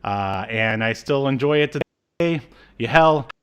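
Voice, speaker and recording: A man in his thirties hosting a casual review chat, speaking in a halting, hesitant way. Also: medium pitch, animated, smooth. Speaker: podcast host. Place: small room at home, video call.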